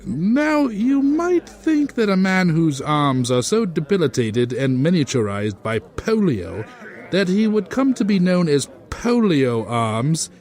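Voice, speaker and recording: A man's voice: fairly high pitch, big pitch swings, slow pace, darkish tone. A man narrating a comedy audio drama with wry, sardonic asides.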